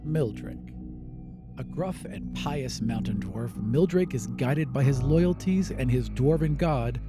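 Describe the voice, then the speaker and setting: A man's voice: medium pitch, expressive, slow pace. Male fantasy narrator over music. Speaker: game master and narrator.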